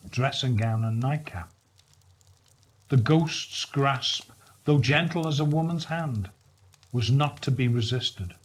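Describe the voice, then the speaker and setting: A man reading a storybook aloud in an expressive narration, with measured, deliberate and dramatic phrasing. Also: mid-range voice, breathy edge, poor audio. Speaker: story reader.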